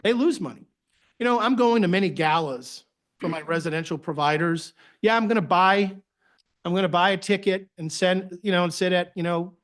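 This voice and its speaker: A man with a Boston accent, giving a conversational presentation to a board at a public meeting, with phrase ends that trail off. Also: high-pitched. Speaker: agency director presenting to a board.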